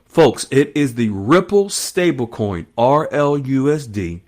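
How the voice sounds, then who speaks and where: A man's voice: mid-range voice, expressive, takes it slowly, darkish tone. A man hosting an online finance news monologue, speaking in short emphatic phrases and repeating key terms as he explains them to followers. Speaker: host of an online currency news channel.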